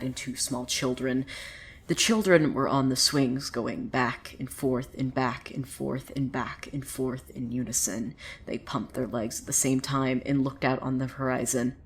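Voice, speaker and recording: A woman playing a sardonic, opinionated storyteller in a fiction podcast, narrating conversationally with gossipy asides. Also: rumbling low. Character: opinionated, sardonic female storyteller who gossips about townsfolk. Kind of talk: dramatic first-person storytelling.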